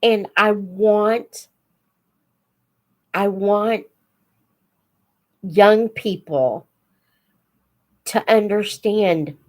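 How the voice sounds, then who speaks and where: An older woman speaks at a slow, deliberate pace in a medium-pitched voice. She hosts a casual live chat for young viewers, talking in a reflective, folksy way and taking her time over each phrase. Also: a little mellow. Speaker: host of a children's book read-aloud channel.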